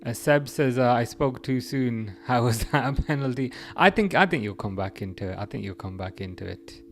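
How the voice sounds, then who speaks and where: A man's voice: medium pitch, normal speed. Rambling young man, casual chat. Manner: relaxed, rambling, frequent restarts and fillers. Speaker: livestream host reading chat. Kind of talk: casual football chat livestream.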